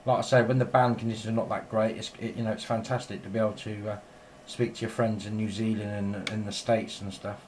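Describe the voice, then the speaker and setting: A man's voice: lowish voice, flat delivery, brisk, hardly any pauses, darkish tone, a little hiss or hum. A man chatting casually and in a rambling way as a radio operator filming in his home shack. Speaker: amateur radio operator and video maker.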